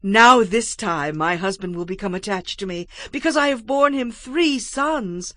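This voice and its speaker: A woman's voice: medium pitch, lively intonation, measured pace, bright, forceful tone, no echo. An actress playing a proud, yearning unloved wife and mother in a dramatized scripture reading, with emotive, declamatory delivery. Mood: hopeful yearning.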